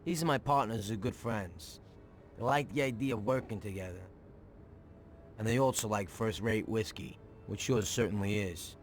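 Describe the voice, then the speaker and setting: A man's voice: on the low side, moderate pace, natural voice. Man as chatty, eager 1930s mobster. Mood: friendly. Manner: colloquial, talkative. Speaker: gangster. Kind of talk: video game cutscene acting. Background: music.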